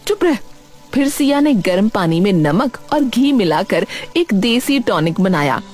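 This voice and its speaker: A woman's voice: mid-range voice, animated, a little slow, a little mellow, faint music behind. A woman narrating a scripted cartoon story.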